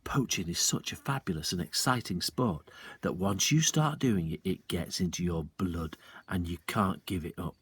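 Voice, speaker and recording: A man's voice: deep voice, moderate pace, fairly bright tone, breathy edge, voice pushed down, full character voice. A man voicing a mischievous, secretive father while reading a storybook aloud. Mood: conspiratorial.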